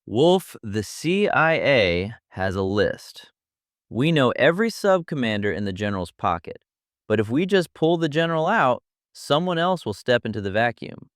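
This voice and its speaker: A man acts a blunt intelligence operative in a mystery drama. His voice is middling in pitch and moves up and down a lot as he presses his point urgently. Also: sing-song, moderate pace, dry recording, clean studio sound, lightly acted. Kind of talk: scripted mystery audio drama.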